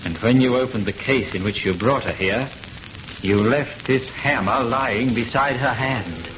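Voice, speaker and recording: An actor playing an earnest, observant doctor in a theatrical old-style radio horror play. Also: male voice, medium pitch, unhurried, lightly acted. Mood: grave. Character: grave, observant male doctor friend. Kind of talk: 1930s radio horror acting. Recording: noisy, muffled old radio recording.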